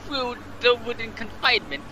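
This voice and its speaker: A man's voice: very high voice, lively intonation, normal speed, bright, forceful tone. A man reading a story aloud in an intense, theatrical way, breaking off for joking asides. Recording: some background noise.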